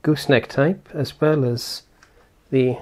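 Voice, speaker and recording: A man's voice: mid-range voice, fairly even intonation, slow. A man giving casual hands-on product commentary as a reviewer, explaining step by step and thinking aloud informally. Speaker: tech reviewer and presenter.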